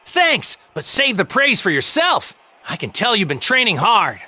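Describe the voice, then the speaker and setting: A woman's voice: mid-range voice, sing-song, unhurried, bright, forceful tone, breathy edge, exaggerated voice. A woman playing a cheerful, guileless young male martial arts hero in an anime game, boyish, upbeat and plain-spoken. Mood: cheerful, casual. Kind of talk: anime video game voice acting.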